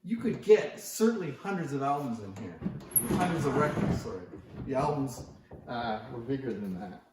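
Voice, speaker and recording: A man's voice: medium pitch, sing-song, moderate pace, heavy background noise. A man giving a casual, unscripted show-and-tell in a conversational way, musing aloud as he presents antiques. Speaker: presenter of antique phonograph demonstrations. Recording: very echoey, reverberant room.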